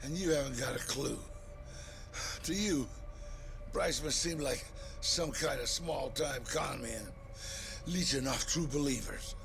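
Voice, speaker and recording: An actor playing a defiant, sneering crime boss in a video game cutscene, taunting, profane and theatrical. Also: male voice, mid-range voice, unhurried, vocal fry, long pauses. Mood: bitter, ominous. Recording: music behind the voice.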